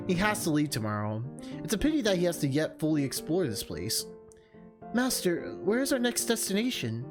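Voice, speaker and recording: A streamer reading a visual novel aloud and adding informal, self-deprecating asides. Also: mid-range voice. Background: music.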